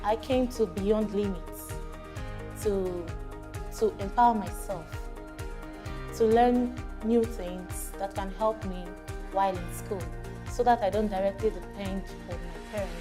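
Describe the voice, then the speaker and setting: A woman's voice: mid-range voice, unhurried. A twenty-year-old woman, an auxiliary nurse, introducing herself carefully. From Nigeria. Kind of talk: self-introduction. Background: background music.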